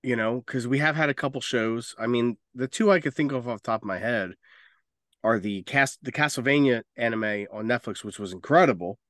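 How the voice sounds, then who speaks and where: A man's voice: mid-range voice, a little fast, fairly bright tone, no echo, clean studio sound. A man co-hosting a podcast in casual, opinionated discussion, speaking emphatically and swearing freely. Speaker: podcast co-host.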